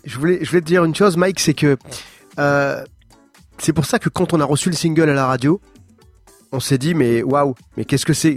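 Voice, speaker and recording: A male radio host with a slightly raised pitch chats along with his guest, stumbling and repeating words as he goes. Soft music plays behind him in the studio. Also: dry recording. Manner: chatty, hesitant, repeats words. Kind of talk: radio interview.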